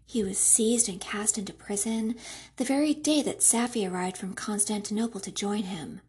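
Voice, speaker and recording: A woman with a breathy, husky, asthmatic voice, hosting a podcast and reading aloud. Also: medium pitch, moderate pace, a little mellow. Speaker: podcast host and book reader. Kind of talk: casual podcast intro, then audiobook-style reading of a classic novel.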